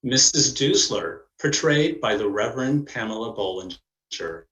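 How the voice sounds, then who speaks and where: A man narrating and announcing in a formal, measured, scripted way as a presenter. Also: mid-range voice, lively intonation, fairly bright tone, reverberant, poor audio. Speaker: narrator and presenter of a community play. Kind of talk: scripted narration and cast introductions.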